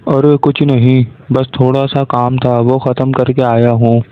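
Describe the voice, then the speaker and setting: A man's voice: mid-range voice, level tone, unhurried, smooth, no room sound, lightly acted. A man playing a poor, good-natured village farmer and husband in a story, with a plain, resigned manner. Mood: easygoing. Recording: narrow tinny phone-like sound.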